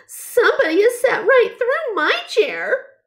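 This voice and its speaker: A woman's voice: very high-pitched, sing-song, unhurried, voice pushed up, full character voice. A woman voicing a little baby bear in a children's storybook, crying out in whiny, squealing distress. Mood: tearful indignation.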